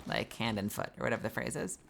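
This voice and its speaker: A woman voice actor streaming games, chatting casually and telling a story with her low voice, swearing freely. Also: fairly even intonation, fast talker, vocal fry, slight background noise. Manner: swearing, storytelling, loud bursts of disbelief. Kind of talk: casual gaming stream chat.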